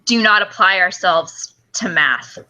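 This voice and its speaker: A woman's voice: mid-range voice, a little slow, pushed and bright, poor audio. A woman co-hosting a podcast in casual, chatty, self-deprecating talk. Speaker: podcast co-host. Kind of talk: casual chat.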